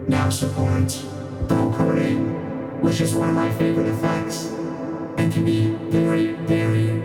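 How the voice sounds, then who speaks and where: A man speaking through a vocoder as a friendly synthesizer robot, with a synthetic, chord-toned voice in a casual demo. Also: a little high. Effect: vocoder robot filter.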